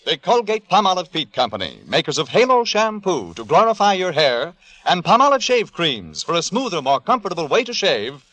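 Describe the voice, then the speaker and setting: A man's voice: high-pitched, big pitch swings, a little fast. A man announcing a sponsor in 1950s radio style. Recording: old radio recording, very loud music.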